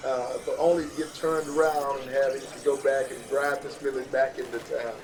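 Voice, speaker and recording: A man speaking as a podcast guest in casual discussion, explaining his points with pauses and fillers. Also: medium pitch, level tone, a crowd in the background. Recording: distant mic, background voices.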